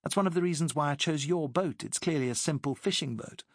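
A man voicing a poised British woman working as a secret agent, speaking crisply and persuasively. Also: fairly high pitch, quick pace, no room sound, studio quality, pitched above the performer's own voice.

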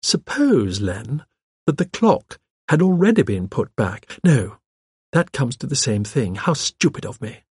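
A man's voice: mid-range voice, very animated, a little slow, a little mellow, slightly rough, dry recording, studio quality, exaggerated voice. A man voicing a spirited, outspoken young wife with an impulsive, emphatic manner in an audiobook. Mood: eager. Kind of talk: audiobook character dialogue.

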